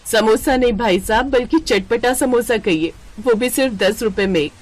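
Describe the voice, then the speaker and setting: A woman's voice: on the high side, normal speed, close to an everyday voice. A woman voicing an earnest, poor, hardworking mother who sells snacks in a cartoon story, speaking expressively. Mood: proud, polite. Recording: heavy noise behind the voice.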